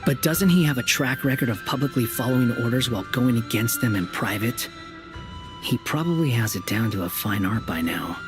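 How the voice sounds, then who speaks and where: A man's voice: mid-range voice, lively intonation, brisk, over music, natural voice. Aloof young male scholar-wanderer played by a man, dry and clipped, middle register, game cutscene. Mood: cool, skeptical. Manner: cool, dry, curt. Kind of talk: video game voice acting.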